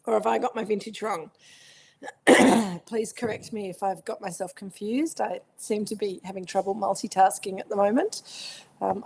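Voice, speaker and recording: Croaky Australian woman hosting a livestream. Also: mid-range voice. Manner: chatty, greets viewers by name, warm asides. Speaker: winemaker hosting a virtual tasting. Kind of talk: casual livestream chat.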